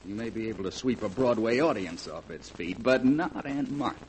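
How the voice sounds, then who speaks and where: An actor in a 1940s radio drama playing a sly young nephew who is a gambler and drinker, speaking in a wry, mocking way. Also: male voice, lowish voice, a little fast, slight background noise, close to an everyday voice, poor audio. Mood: sardonic. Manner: wry and mocking, with lilting sarcastic inflection.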